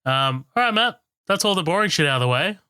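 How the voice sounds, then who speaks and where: Bright crisp-voiced man cohosting a podcast. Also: fairly high pitch, expressive, fast, no echo, studio quality. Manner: laid-back, swears, laughs often. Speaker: podcast co-host. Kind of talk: casual chat.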